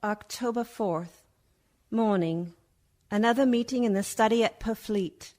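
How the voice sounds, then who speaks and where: Lady acting haunted young woman in radio play, slowish n dreamy, kinda high voice. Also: mellow, natural voice. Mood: narrating. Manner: slow, dreamy, dramatic. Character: entranced, haunted young Victorian woman under vampire's spell.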